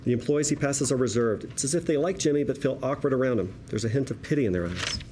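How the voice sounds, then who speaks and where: A man with a dark, mellow voice of middling pitch narrates a script. He reads the stage directions at a table read, with faint noise behind him. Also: fast. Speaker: narrator reading screenplay directions.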